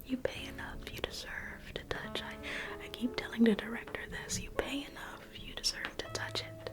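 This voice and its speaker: A woman in an ASMR roleplay, playing a private museum guide in a slow, coaxing whisper that repeats phrases. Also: huge pitch swings. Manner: coaxing sales-pitch tone.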